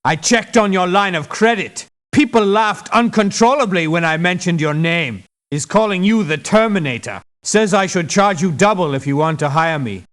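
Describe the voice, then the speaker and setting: An actor voicing an exasperated, dismissive, sarcastic mercenary in a video game. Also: male voice, high-pitched, animated, moderate pace, hardly any pauses, fairly bright tone. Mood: mocking. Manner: sarcastic, scornful. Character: exasperated, dismissive, sharp-tongued male mercenary. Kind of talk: video game character voice lines.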